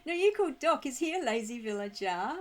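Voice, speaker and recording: A woman with a slightly croaky throat chatting casually and bubbly to viewers while live streaming a game, affectionate and quick to giggle. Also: fairly high pitch, big pitch swings. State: croaky throat, needs clearing. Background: music.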